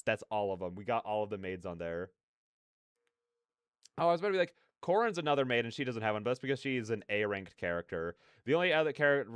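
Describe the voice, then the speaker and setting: A man's voice: fairly low pitch, smooth, dry recording. Rambling young man, dry close mic. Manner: rambling, repeats phrases. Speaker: reaction video host. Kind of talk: casual, off-the-cuff reaction commentary.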